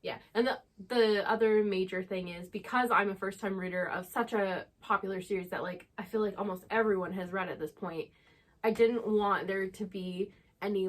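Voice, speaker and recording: A woman with a voice of average pitch chats as a book channel co-host. She explains herself in a casual, conversational way. Her sentences are loose and sprinkled with "like". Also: fairly bright tone.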